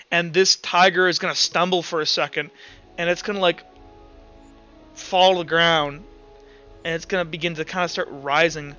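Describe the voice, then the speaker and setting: High-voiced bright man running a tabletop game. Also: lo-fi sound. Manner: animated, casual, profane. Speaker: game master. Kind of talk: narrating a tabletop roleplaying game.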